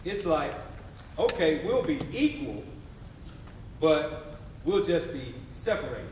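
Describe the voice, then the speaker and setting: A man's voice: a little high, big pitch swings, takes it slowly, a little mellow. Distant, echoing male stage narrator. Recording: very echoey hall, distant mic, noisy.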